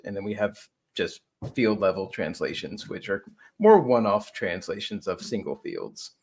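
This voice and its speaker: A man in his thirties explaining a product on a video call as a conversational co-presenter. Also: lowish voice. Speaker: software demo co-presenter.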